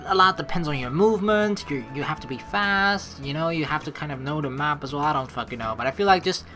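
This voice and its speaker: Low-pitched, lively, bright woman gamer. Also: fast. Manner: chatty, informal, rambling asides. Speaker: let's play host. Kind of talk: game commentary mixed with reading story text aloud. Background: game music.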